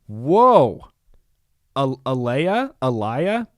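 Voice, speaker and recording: A man's voice: mid-range voice, very animated, extremely slow, dry recording, clean studio sound. A young man co-hosting a podcast in casual chat, laughing often as he reads out lists and adds asides. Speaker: podcast co-host.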